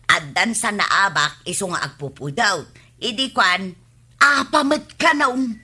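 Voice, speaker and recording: A woman's voice: mid-range voice, expressive, unhurried, pushed and bright, breathy edge, heavily acted. A woman playing a gossipy Filipino village neighbour in a radio comedy drama, tattling about others.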